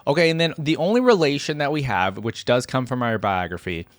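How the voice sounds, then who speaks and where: A man with a medium-pitched voice hosts in a casual, conversational way. He moves between reading aloud and musing commentary of his own. The sound is dry, with very little room in it. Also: a little fast, no room sound. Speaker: reaction video host.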